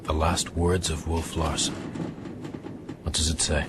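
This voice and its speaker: Earnest castaway gentleman sailor. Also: very deep voice, level tone, unhurried, background noise. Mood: solemn. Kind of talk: broadcast radio drama acting.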